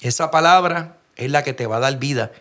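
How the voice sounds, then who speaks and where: A man's voice: mid-range voice, fairly quick, pushed and bright. A man with a Puerto Rican accent preaching a sermon, telling stories earnestly and asking rhetorical questions. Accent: Puerto Rican Spanish. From Puerto Rico. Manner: earnest storytelling, frequent restarts and rhetorical questions.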